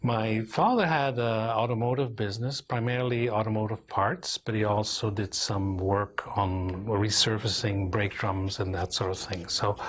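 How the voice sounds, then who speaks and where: Unhurried older man, deep voice. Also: a bit bright, breathy edge. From Belgium. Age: 70s. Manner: unhurried, thoughtful storytelling with small restarts. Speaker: interviewee, engineer and company president. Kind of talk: reflective oral history interview. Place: small office, indoors, seated at a desk.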